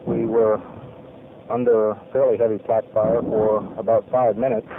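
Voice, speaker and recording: A man, a navy pilot, giving a formal spoken debrief of a mission in measured, clipped military phrasing. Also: lowish voice, level tone, a little hiss or hum. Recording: tinny lo-fi archival radio recording.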